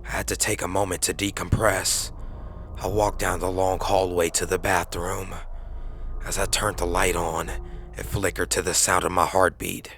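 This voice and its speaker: A man's voice: low-pitched, sharp tone. A man reading scripted first-person horror narration as a podcast narrator. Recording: faint background noise.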